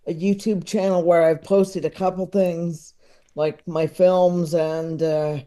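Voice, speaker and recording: A man's voice: on the high side, little pitch movement, takes it slowly, mellow, clear, resonant tone. A man in his thirties chatting as a podcast guest, rambling and repeating words as he gathers his thoughts. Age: 30s. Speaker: podcast guest, comedy expert. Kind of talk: casual chat.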